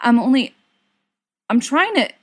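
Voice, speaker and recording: A woman's voice: sits high, sing-song, measured pace, fairly bright tone, dry recording, voice pushed up, heavily acted. A woman voicing a nervous young man in an audiobook, flustered, evasive and fumbling for words. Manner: stammering, evasive.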